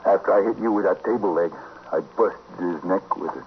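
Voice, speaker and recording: An American actor playing a cold, vengeful killer in a 1950s Western radio drama, with taunting, rustic drawled lines. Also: male voice, deep voice, normal speed, gravelly. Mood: cold, matter-of-fact. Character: menacing, vengeful male killer in an old West town. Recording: muffled, hissy 1950s radio recording.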